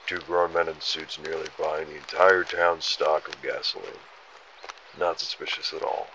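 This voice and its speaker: Guy doing sardonic loyal younger agent, horror drama, deep edgy voice, roomy echo. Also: fairly bright tone. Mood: wry, concerned. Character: younger, earnest, sardonic male government agent, loyal and jumpy. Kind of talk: analog horror drama acting. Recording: echoey room with faint noise.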